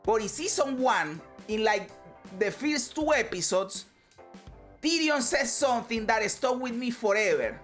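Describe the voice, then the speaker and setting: A woman's voice: fairly high pitch, expressive, unhurried, soft background music. A woman from Venezuela, giving a casual motivational monologue, emphatic, swearing freely and repeating phrases. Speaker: vlogger.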